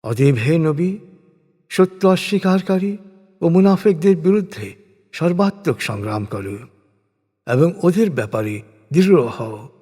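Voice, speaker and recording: A man's fairly high voice carries through a long reverb. He narrates scripture in translation with solemn formality. His phrasing is measured, each phrase settling downward at its end. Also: animated, mellow, breathy edge.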